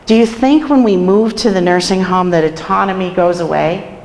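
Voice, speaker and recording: A hoarse woman with a cold, a nursing home administrator, giving a chatty staff training and asking the room questions. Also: medium pitch, normal speed, a little mellow. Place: meeting room in a care home. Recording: echoey room with long reverb.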